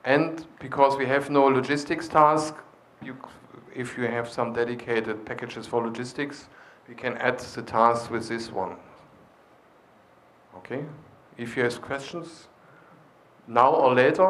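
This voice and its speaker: A man's voice: mid-range voice, steady tone, a little slow, fairly bright tone. A man leading a conference discussion, encouraging attendees and explaining with hesitant fillers, speaking into a handheld microphone in a large lecture hall. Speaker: session leader.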